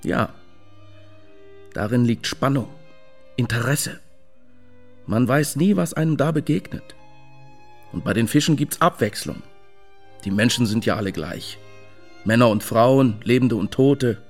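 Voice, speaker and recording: An actor playing a wry, misanthropic fish lover in a German radio drama, telling things in a deliberate, matter-of-fact way. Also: male voice, mid-range voice, faint music behind. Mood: wry, absorbed.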